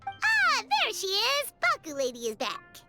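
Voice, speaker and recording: A woman voicing a tiny, chirpy, outspoken fairy companion in a video game, with a squeaky childlike voice. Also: huge pitch swings, measured pace, exaggerated voice. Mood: excited. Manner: speaks of self in third person, blunt and curious. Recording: background music.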